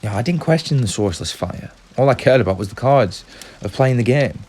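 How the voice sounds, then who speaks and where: A man's voice: medium pitch, animated, brisk, a little mellow, slightly rough. A man playing a weary, easily tempted traveller in an audio drama, speaking in a hesitant, natural, conversational way. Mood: rueful.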